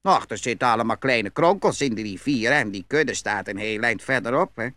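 A man's voice: medium pitch, lively intonation, brisk, sharp tone, no room sound. A man playing a sly young peasant trickster in a fairy-tale audio play, glib and falsely innocent. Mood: sly, breezy. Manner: glib, storytelling, feigned innocence.

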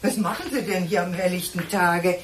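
A woman's voice: on the low side, normal speed, background noise, close to an everyday voice. An actress playing a fussy, concerned housekeeper in a radio play, breaking off her sentences. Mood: startled, solicitous. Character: fussy, concerned housekeeper woman, easily startled.

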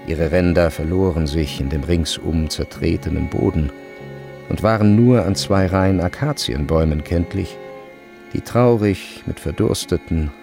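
A man reading literary narration for a radio play in measured, deliberate phrases, as the narrator. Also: low voice. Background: music.

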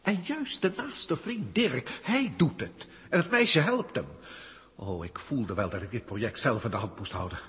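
An actor playing a grandiose alien overseer, emphatic and emotional, in a 1960s science-fiction radio drama. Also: male voice, mid-range voice, big pitch swings, moderate pace, a bit bright, breathy edge, full character voice. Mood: astonished, agitated. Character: passionate senior alien overseer, male, grandiose. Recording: long echoing reverb, old radio recording.

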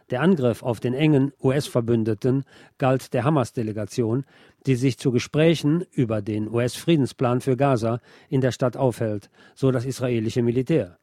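Mellow man reading political news commentary. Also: medium pitch, dry recording, clean studio sound. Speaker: presenter.

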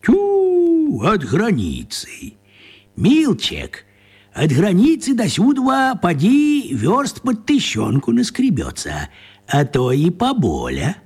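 Husky, drawling old village carter. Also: male voice, high voice, huge pitch swings, slow, a little mellow, exaggerated voice. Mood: curious. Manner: rustic folksy dialect speech. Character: slow, folksy old village carter, homely philosopher. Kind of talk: radio play acting.

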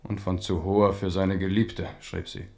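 Low-voiced ageing king, wry. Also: flat delivery, normal speed, mellow. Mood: wistful musing. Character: ageing, amorous king of France, wry and wistful. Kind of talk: German radio drama acting.

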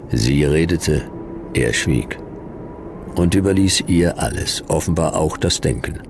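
Very deep, gravelly hardboiled detective. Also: male voice, slight background noise, exaggerated voice. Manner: clipped, dry, deadpan delivery. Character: Jonas, laconic hardboiled male private detective narrating his case. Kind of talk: German sci-fi detective radio play, first-person narration and dialogue.

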